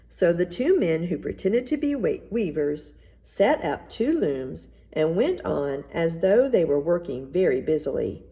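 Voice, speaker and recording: A woman reading a children's story aloud in an expressive, measured narrating style. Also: lowish voice. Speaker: storybook reader.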